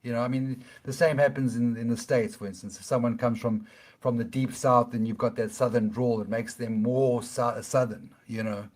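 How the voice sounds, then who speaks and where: Guest role: middle-aged man, Cape South African accent, halting and repetitive, mid-range voice. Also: mid-range voice, fairly even intonation, a little mellow. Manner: halting, many restarts, tags points with "okay?". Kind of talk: explanatory interview talk.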